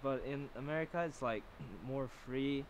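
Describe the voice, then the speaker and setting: A man's voice: medium pitch, normal speed, slight background noise, poor audio. Earnest teenage boy, medium voice. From South Korea. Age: teens. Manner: earnest, clears throat. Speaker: international student. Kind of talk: outdoor interview. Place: outdoors on a lawn by woods.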